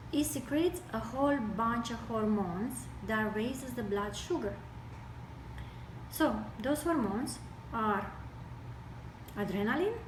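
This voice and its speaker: A woman from Romania with a Romanian accent gives an explanatory health talk, speaking deliberately in broken phrases. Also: on the high side. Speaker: health speaker with medical training. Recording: distant mic, echoey room, noisy background.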